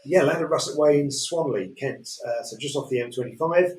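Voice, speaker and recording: A man with a Yorkshire accent presenting auction lots in a relaxed way, full of fillers. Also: fairly high pitch, a little fast. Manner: relaxed, many fillers, chuckles. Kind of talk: casual chat describing property lots. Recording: echoey room, distant mic.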